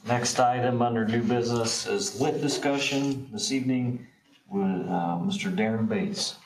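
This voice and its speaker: A man's voice: mid-range voice, normal speed. A man chairing a county council meeting, moving the agenda along briefly and procedurally. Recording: echoey room, distant mic, hiss.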